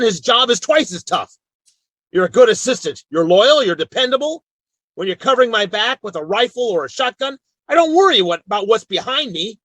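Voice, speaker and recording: A man's voice: high voice, sharp tone, no echo, exaggerated voice. A man playing a firm, loyal Old West marshal in a radio western, speaking in a frank, folksy, commanding way. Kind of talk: old-time western radio drama acting.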